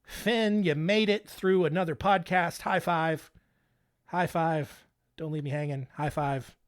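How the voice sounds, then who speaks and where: A man's voice: fairly high pitch, steady tone, a little slow, smooth, no room sound. Casual male podcast host, joking and playful, dry close mic. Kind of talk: casual solo podcast chat.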